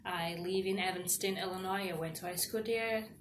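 A woman's voice: fairly low pitch, steady tone, normal speed. A young woman from Nigeria, an engineering student, answering interview questions in a loose, chatty way with fillers. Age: young adult. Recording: roomy echo, faint noise.

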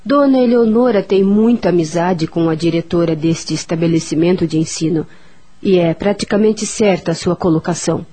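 A woman's voice: on the low side, moderate pace, dark tone, slightly rough. An actress with a Brazilian accent playing a formal, fair-minded woman doctor in a radio soap opera. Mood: businesslike. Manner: formal, businesslike.

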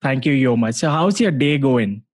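A man's voice: mid-range voice, normal speed. A young man from India hosting a podcast, reading a scripted introduction and then asking questions. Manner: reads a scripted intro in a steady way. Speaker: podcast host.

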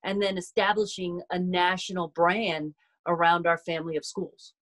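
Woman guest, low-ish voice, steady and businesslike in interview. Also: moderate pace. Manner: composed, businesslike. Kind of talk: interview answers.

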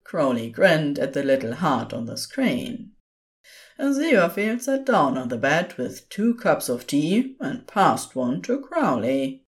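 A woman narrates a piece of audio fiction in a low register. She takes her time over each phrase, and her intonation rises and falls expressively as she tells the story. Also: sing-song, measured pace.

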